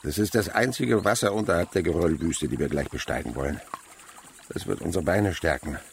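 An actor playing an aging, genial naturalist leading a hike in a German radio play, with a gravelly older voice. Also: male voice, low voice, a little fast, slight background noise. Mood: savoring. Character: aging male insect researcher, genial, fond of food, leading a hike.

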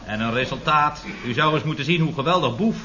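An actor playing an earnest, enthusiastic employee who politely insists, in a Dutch radio comedy serial from around 1970. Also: male voice, on the high side, fast, sharp tone. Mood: eager, earnest. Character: eager, deferential, earnest male employee, a dog enthusiast. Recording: dull, hissy old radio recording.

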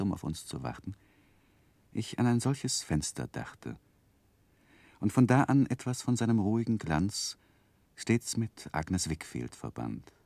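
Reflective storyteller in vintage radio drama; low-pitched, very melodic delivery, earnest and carefully phrased. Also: male voice, creaky, a little mellow, no room sound, heavily acted. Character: earnest gentleman recounting his boyhood, tender and reflective. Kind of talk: literary first-person narration in 1960s German radio drama.

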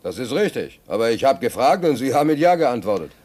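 An actor playing an aggrieved sailor in a German radio play, colloquial and quick to protest. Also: male voice, mid-range voice, big pitch swings, a little mellow. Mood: indignant protest. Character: aggrieved sailor tricked into shipping as stoker's trimmer.

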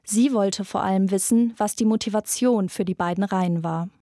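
A woman's voice: medium pitch, moderate pace, mellow, no room sound, studio quality. A young woman in her twenties presenting a scripted podcast narration. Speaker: presenter, radio drama intern.

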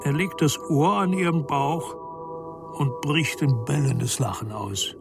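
A man's voice: a little high, animated, takes it slowly. A man narrating a radio play in a literary, dramatic storytelling manner. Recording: studio radio play with music underneath. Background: clearly audible music.

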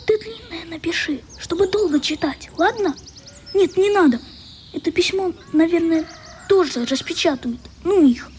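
Wistful, very high-voiced little boy. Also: normal speed, darkish tone, a little hiss or hum. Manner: plain, childlike, wistful. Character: gentle, wistful little boy at summer camp. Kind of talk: radio play acting.

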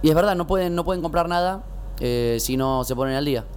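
A young man with a Rioplatense accent, bantering casually as a radio host and talking in quick bursts with restarts. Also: on the high side, slight background noise. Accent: Rioplatense Spanish.

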